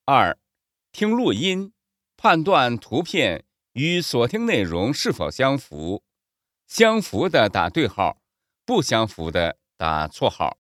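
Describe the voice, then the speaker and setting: Slow, melodic man announcing instructions. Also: medium pitch, wildly swooping pitch, a bit bright, no echo, clean studio sound. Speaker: exam announcer. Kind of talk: scripted test instructions.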